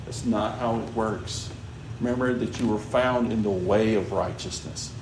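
A man's voice: on the low side, normal speed. A man preaching a sermon in a conversational way, often checking in with "all right?" and "you know". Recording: echoey room with background noise.

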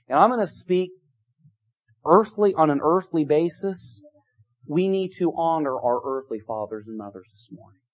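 A man's voice: fairly high pitch, a little mellow. A middle-aged man preaching a sermon, emphatic and repetitive, his volume rising and falling. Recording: dull lo-fi sound.

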